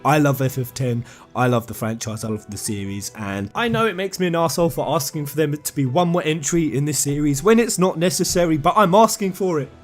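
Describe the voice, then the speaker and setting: A man's voice: fairly high pitch, a little fast, faint music behind. A British man hosting casual fan commentary, talking to viewers in an emphatic, chatty, enthusiastic way. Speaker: video host.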